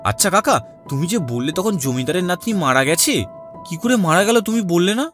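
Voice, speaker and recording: A man voicing an anxious, inquisitive young village man in a horror cartoon, with an excitable questioning manner. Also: high-pitched, fast, music in the background. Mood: curious. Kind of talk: dramatized cartoon dialogue.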